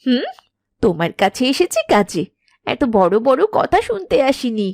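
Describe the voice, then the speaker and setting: A woman's voice: on the high side, sing-song, normal speed, gravelly, a little mellow, dry recording, clean studio sound. A woman playing a work-shy young farmer's wife in a cartoon, with a whiny, scheming, exaggerated manner. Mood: grumbling. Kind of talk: animated moral-story cartoon dialogue.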